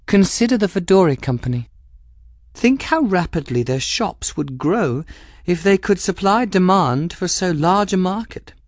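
A man's voice: high-pitched, expressive, measured pace, dry recording, studio quality, voice pushed up. A man voicing a poised, scheming aristocratic woman who speaks with cool, cutting mockery. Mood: smooth, persuasive. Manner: poised, cutting, lightly mocking. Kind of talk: audiobook character voice.

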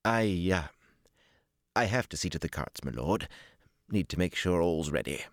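A man's voice: sits low, lively intonation, normal speed, dry recording, studio quality. A man voicing a stout, older, anxious male servant in an audiobook, deferential, stiff and hesitant in his speech. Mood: hesitant, embarrassed. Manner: stiff, hesitant, flustered, stammering. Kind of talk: audiobook character dialogue.

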